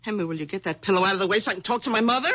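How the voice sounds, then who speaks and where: An actress playing a domineering, nagging wife with a fussy, complaining manner in an old radio drama. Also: female voice, medium pitch, racing, a bit bright, close to an everyday voice. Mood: fussy, chatty. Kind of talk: 1970s radio drama acting. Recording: dull vintage 1970s radio recording.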